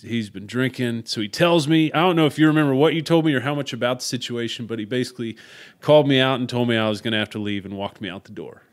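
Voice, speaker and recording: A man, hosting a podcast interview and telling stories in a loud, outgoing way. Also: mid-range voice, clear, resonant tone. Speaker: podcast host. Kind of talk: casual conversational interview.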